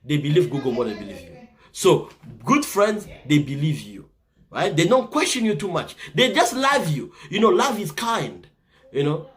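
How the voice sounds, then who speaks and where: A man's voice: a little high, big pitch swings, normal speed, a bit bright. A man from the Congo with a Congolese accent, giving earnest casual advice to viewers and often checking in with "right?". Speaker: vlogger giving life advice.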